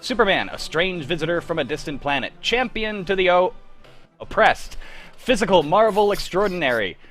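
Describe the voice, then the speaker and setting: Guy putting on an excited old radio serial announcer, kinda high voice, music under him. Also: normal speed. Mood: grand, excited. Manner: theatrical, emphatic. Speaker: announcer in an amateur live script reading. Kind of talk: 1940s radio serial narration.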